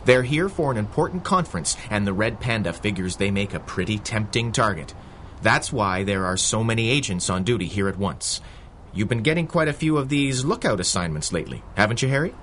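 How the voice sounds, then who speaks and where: An actor playing a patient, capable undercover constable who explains things indulgently in a 1930s-style radio adventure. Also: male voice, fairly low pitch, animated, brisk, fairly bright tone, a little hiss or hum. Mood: patient, amused.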